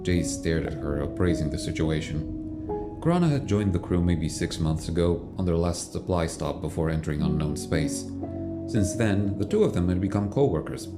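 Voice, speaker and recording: Deep, expressive male narrator. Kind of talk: scripted audio drama narration. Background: music.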